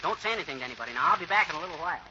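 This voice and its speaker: Folksy braggart and prankster, acted by a man, swooping lively delivery, vintage radio comedy, very noisy muffled recording. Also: a little high, rapid-fire, natural voice. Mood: scheming. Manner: folksy bragging, tongue-twisting alliterative patter. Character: boastful, folksy, prank-loving joker of a man. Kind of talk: 1940s radio comedy acting.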